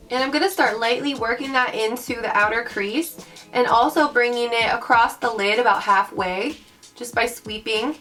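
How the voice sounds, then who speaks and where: A young woman with a raspy voice from recent illness, chatting bubbly through a makeup tutorial and calling her viewers guys. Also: a little high. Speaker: beauty vlogger. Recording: mic at a distance, soft background music.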